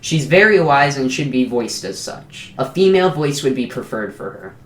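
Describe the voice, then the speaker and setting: A man reading a scripted explainer announcement as the creator of an animated show. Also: mid-range voice, normal speed. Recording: roomy, echoing voice recording.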